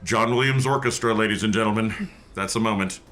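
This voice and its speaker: A man's voice: fairly low pitch, a little fast, a bit bright. A man chatting casually and playfully as a player in a tabletop game, tossing in quips. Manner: playful, quipping. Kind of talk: casual joking game chat.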